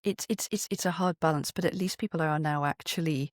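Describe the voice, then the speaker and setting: British interview guest, medium pitch, close dry sound, expressive, conversational, voices imagined quotes. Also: brisk, dry recording. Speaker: podcast guest, writer and poet.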